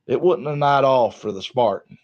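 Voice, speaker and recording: Male podcast host, Southern US accent, folksy casual wrestling talk, mid pitch, dull lo-fi recording. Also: normal speed, darkish tone. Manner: folksy, colloquial storytelling. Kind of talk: casual wrestling recap chat.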